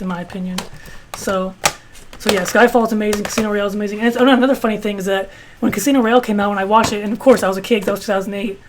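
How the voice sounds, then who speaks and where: A man in his twenties with a high-pitched voice, reviewing his film collection in a rambling, casual way with some swearing. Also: slight background noise. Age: 20s. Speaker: video host.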